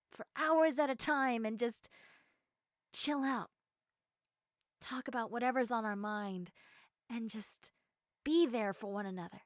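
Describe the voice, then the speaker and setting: A woman playing an eager, socially awkward, chatty young woman in a roleplay audio, halting and excitable as she talks to the listener. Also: fairly high pitch, no room sound, clean studio sound.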